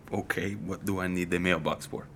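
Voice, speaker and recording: A man acting a puzzled, hesitant character in an audio drama, speaking in a halting, questioning, naturalistic way. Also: low-pitched, fairly even intonation, fairly bright tone, slight background noise. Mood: confused, hesitant. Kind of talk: naturalistic fiction podcast acting.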